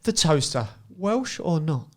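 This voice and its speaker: A man's voice: a little high, very animated, unhurried. A man hosting a podcast, running a light trivia quiz with his guests and laughing often. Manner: playful, laughs often while quizzing guests. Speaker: podcast host.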